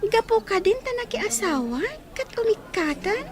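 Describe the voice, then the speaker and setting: Inquisitive Ilocano youngest sister, tiny very high voice, radio drama. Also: female voice, sing-song, normal speed, crowd noise. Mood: curious.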